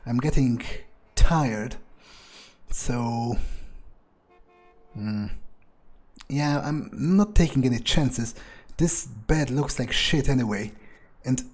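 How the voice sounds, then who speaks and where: Casting: man, husky mid-to-low voice, rambling in-character game player, musing aloud with crude asides. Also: slow pace, long pauses, a little mellow. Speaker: gaming video creator playing in character. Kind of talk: improvised in-character game commentary.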